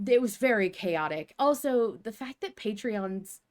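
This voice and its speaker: A woman in casual podcast conversation, her voice sitting at a middle pitch. She is animated and emphatic, rambling informally through her thoughts as a co-host would with a friend. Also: unhurried. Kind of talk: casual fan podcast chat.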